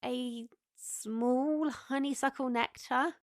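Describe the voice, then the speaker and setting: A woman's voice: high-pitched, fairly even intonation, slow, no echo. A woman playing a polite, dry-witted young female customer at a magical cafe in an audio drama.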